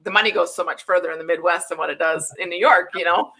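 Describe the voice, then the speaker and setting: A woman from Wisconsin hosting a casual interview, chatty and quick to laugh. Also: fairly low pitch, fairly even intonation, bright, forceful tone, a little hiss or hum. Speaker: host.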